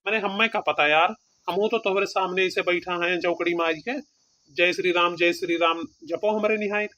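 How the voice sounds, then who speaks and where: A man's voice: high-pitched, fairly even intonation, moderate pace, bright, forceful tone. A man voicing a grumbling, casual village wedding priest in a cartoon, with an Awadhi accent. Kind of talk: cartoon comedy voice acting. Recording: dull lo-fi cartoon voice track.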